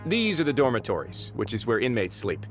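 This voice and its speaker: An actor playing a composed male prison warden in a game, with a measured, dry and authoritative way of talking. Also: medium pitch, big pitch swings, lightly acted. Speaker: prison administrator. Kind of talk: game voice acting. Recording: studio game audio with faint music.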